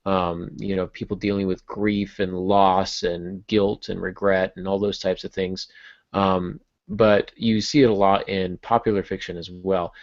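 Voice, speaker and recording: Guy podcast host, kinda low creaky voice, explains stuff casually, bit halting, dull lo-fi audio. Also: level tone, no echo. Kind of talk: conversational explanatory podcast talk.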